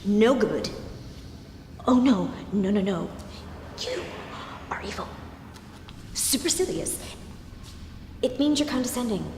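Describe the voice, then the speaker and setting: A woman's voice: medium pitch, expressive, takes it slowly, pauses often. An actress playing an angry, jealous woman in a comic film monologue, whispering furiously into a phone with indignant outbursts. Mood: furious, insulting. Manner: hushed, indignant, swooping into outbursts. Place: large museum gallery, indoors. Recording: echoey reverberant hall, some noise.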